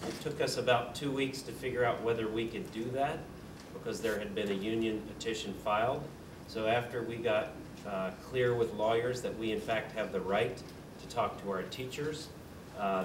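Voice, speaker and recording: Low guy running a school board meeting, lots of uhs, echoey room, far off mic, noisy. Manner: measured, with frequent uh fillers and restarts. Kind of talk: formal meeting, explaining procedure.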